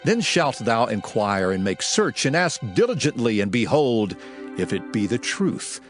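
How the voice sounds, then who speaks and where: A man's voice: mid-range voice, very animated, a little slow. A man giving a solemn, declamatory dramatized reading of scripture in archaic English. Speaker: scripture reader. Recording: music behind the voice. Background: music.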